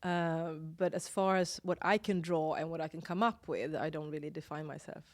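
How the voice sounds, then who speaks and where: Swedish woman, halting interview chat. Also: sits low, steady tone, dry recording. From Sweden. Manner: restless, many restarts and hesitations. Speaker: designer and illustrator guest.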